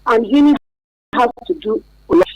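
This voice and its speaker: A woman's voice: a little high, moderate pace, dry recording, muffled recording. A woman with a Nigerian accent, a therapist guest explaining things emphatically in a radio interview, repeating key words and checking that the listener follows.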